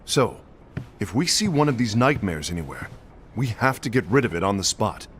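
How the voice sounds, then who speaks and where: An actor playing a hot-tempered, sarcastic young warrior in an animated fantasy film, confrontational and emphatic, over music. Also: male voice, on the low side, normal speed, a little hiss or hum. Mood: challenging. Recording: dramatic music behind the voice.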